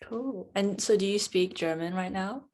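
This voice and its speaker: A woman's voice: mid-range voice, moderate pace, darkish tone, slight background noise. A woman interviewing a guest in casual chat, encouraging and repeating short replies like "cool, cool".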